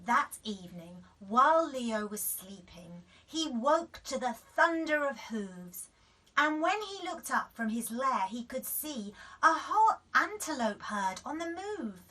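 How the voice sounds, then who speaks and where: Woman reading kids a bedtime story, high voice, takes it slow, sat a bit back from the mic. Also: sing-song, sharp tone, far from the mic, poor audio.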